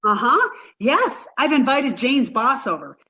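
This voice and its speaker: A woman's voice: high-pitched, sing-song, a little slow, sharp tone, muffled recording, exaggerated voice. An actress playing a sweet, naive, scatterbrained young girlfriend in 1940s radio sitcom style, doting and earnest. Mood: eager.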